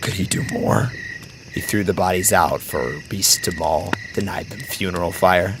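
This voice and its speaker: An actor playing an appalled, fearful witness in an ancient tragedy, declaiming weighty verse with a gravelly voice and awestruck delivery. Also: male voice, low voice, normal speed, noisy background. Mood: horrified, disbelieving. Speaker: actor in a staged reading of classical tragedy. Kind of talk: solemn theatrical verse declamation.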